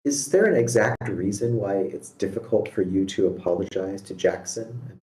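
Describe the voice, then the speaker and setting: A man playing a stern, patient mediator in a script read, asking firm, measured questions over an online call. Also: fairly low pitch, a little mellow, natural voice. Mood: probing. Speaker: amateur actor reading a part. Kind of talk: screenplay table read, dramatic dialogue. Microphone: online meeting call. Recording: roomy, echoing home microphone.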